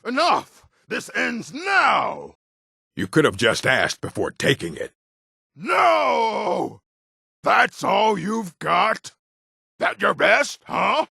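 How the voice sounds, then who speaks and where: Gravelly, husky orc warrior. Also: male voice, high voice, wildly swooping pitch, drawn-out words, bright, forceful tone, no echo, studio quality, full character voice. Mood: combative, shouting. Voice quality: gravelly, rough. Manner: menacing, blunt. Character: gruff, aggressive orc warrior, adult male. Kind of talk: video game character barks.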